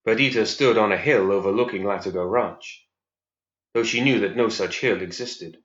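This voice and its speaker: A man reading fantasy fiction as scripted narration in a measured, serious storytelling manner. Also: on the low side, a bit bright. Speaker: story reader. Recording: roomy, slightly dull recording.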